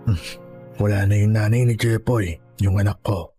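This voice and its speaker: An actor playing a poor, weary elderly grandfather who sells peanuts, gentle and hesitant, with a Filipino accent, in a radio drama. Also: on the low side, steady tone, moderate pace, faint music behind, natural voice. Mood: sorrowful, resigned. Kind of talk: Tagalog radio drama acting.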